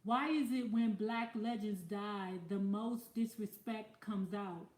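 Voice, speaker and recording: A woman's voice: medium pitch, slow pace, a little mellow, echoey room. A woman hosting a casual livestream, talking bluntly with plenty of profanity and losing her voice. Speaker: livestream host reading viewer comments.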